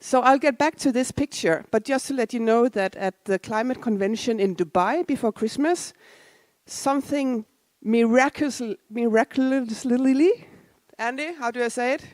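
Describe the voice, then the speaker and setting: A woman's voice: a little high, normal speed, darkish tone, no room sound. A woman in her forties, originally from Denmark, giving a casual, self-deprecating conference talk with asides to the audience. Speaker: conference speaker. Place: conference hall.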